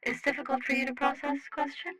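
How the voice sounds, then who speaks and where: Casting: reader performing little rock alien for audio fiction. Bright, crisp-edged tone, clipped broken grammar, blunt but good-hearted. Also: sits high, level tone, fast talker, pitched above the performer's own voice, low-quality recording. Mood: blunt, kindly. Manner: speaks clipped broken English, announces questions by saying "question". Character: small alien rock creature with legs, cheerful blunt matchmaker. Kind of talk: scripted fiction dialogue, translator voice.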